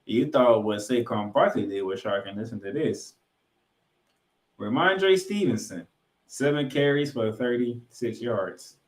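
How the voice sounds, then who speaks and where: A man's voice: mid-range voice, lively intonation, measured pace. A man hosting a sports podcast, giving recap commentary in an emphatic way and repeating points for effect. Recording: roomy, echoing room sound.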